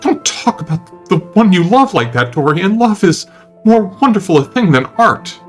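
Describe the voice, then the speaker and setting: Man acting a high-voiced, earnest gentleman. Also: very animated, moderate pace. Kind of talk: dramatic audiobook character reading. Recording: music under the voice.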